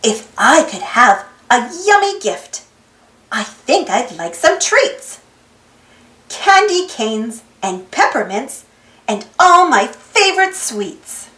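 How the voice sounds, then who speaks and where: A woman reading a children's storybook aloud in an expressive, singsong rhymed delivery. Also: on the high side, slow pace. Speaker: storybook reader, channel host. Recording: roomy echo with music behind. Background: music.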